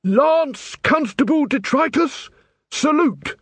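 An earnest young watch corporal in a fantasy audiobook, played by a male narrator. He is formal and stern, giving orders in a raised, high-set voice with plenty of swing, recorded dry. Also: very animated, moderate pace, no echo. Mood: stern, angry.

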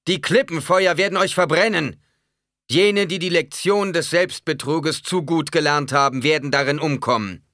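A man voicing a fiery old desert preacher in an audiobook, proclaiming solemn warnings in a booming, declamatory way. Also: animated, measured pace, bright, forceful tone, no room sound, clean studio sound. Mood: thundering warning.

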